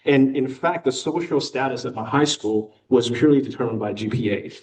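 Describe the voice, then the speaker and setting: A young American man giving a casual personal talk with slides to a club meeting, relaxed and chatty with frequent fillers. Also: medium pitch, fairly quick, recorded from a distance, rough recording. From United States. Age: young adult. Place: meeting room.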